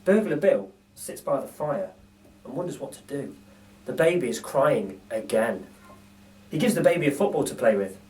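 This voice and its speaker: Man reading a story to kids, really expressive up and down, dramatic pauses, recorded from a way off. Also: mid-range voice. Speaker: storybook reader for children. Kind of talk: expressive children's story narration. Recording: distant microphone.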